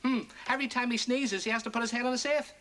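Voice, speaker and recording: A comedian man doing a stand-up routine as a pretend phone call to his mother, firing off quick one-liners. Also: very high-pitched, steady tone, pushed and bright.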